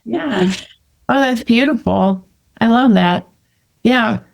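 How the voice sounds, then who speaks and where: A woman's voice: on the high side, slow, creaky. Affirming woman podcast host. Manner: enthusiastic, affirming. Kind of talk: casual conversational interview.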